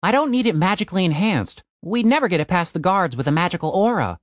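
A determined young man from a storybook, as a male narrator plays him. He sounds earnest and insistent about his schemes. The pitch moves up and down a lot, in a dry, close recording. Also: lively intonation, quick pace, no echo.

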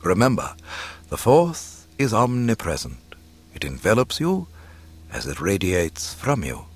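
A man's voice: fairly low pitch, huge pitch swings, slightly rough, full character voice. Man as a wise old mentor, rising and falling. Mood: instructive. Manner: gentle, measured, mystical. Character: wise, calm old male mystic warrior mentor.

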